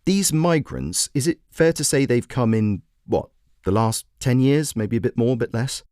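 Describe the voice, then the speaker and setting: A man voicing a brisk female radio phone-in host who questions and persuades in a measured way. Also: medium pitch, animated, darkish tone, no echo, clean studio sound, natural voice. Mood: persuasive. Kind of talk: talk radio presenting.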